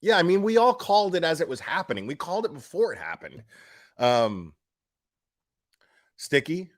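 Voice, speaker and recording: Blunt, higher-voiced man commenting casually. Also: big pitch swings, normal speed. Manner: blunt, foul-mouthed, emphatic. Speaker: YouTube commentary streamer. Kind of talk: casual opinionated live commentary.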